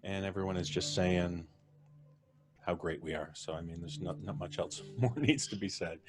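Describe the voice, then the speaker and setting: A man's voice: low voice, moderate pace, background noise. A man hosting a live podcast stream, chatting casually and thanking his guests as he wraps up. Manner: relaxed, chuckling, thanking guests. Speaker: podcast host.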